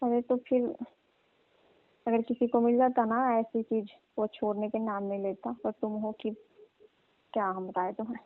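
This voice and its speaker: A woman's voice: fairly high pitch, flat delivery, moderate pace, long pauses, dry recording, lightly acted. A woman playing a flirtatious, pushy village aunt who coaxes and teases a younger repairman in a comic phone skit. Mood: coaxing. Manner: coaxing, teasing, colloquial. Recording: tinny, dry phone-call recording.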